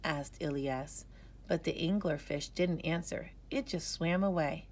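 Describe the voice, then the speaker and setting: A woman reading a children's storybook aloud, with expressive, measured, careful enunciation. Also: deep voice. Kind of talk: scripted read-aloud narration.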